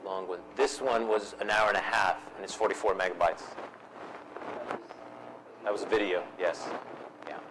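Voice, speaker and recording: Guy presenter in a seminar room, lowish voice, easygoing, lots of ums, slight hiss. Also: fairly even intonation, normal speed, pauses often. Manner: easygoing, repeats himself with ums. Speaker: workshop presenter. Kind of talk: answering audience questions.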